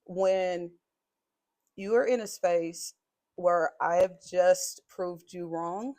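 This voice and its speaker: A woman's voice: fairly low pitch, fairly even intonation, slow pace, a little mellow. A woman speaking in a strong voice, making her points assertively in a podcast conversation. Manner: assertive, emphatic, builds points in lists.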